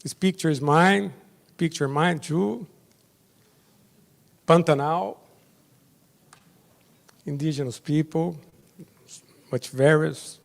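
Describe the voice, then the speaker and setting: A Brazilian judge whose first language is Portuguese, giving a conference presentation in halting English with short, simple phrases. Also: male voice, on the high side, creaky. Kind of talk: slide presentation at a summit. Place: large hotel conference hall. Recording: long reverb of a big hall.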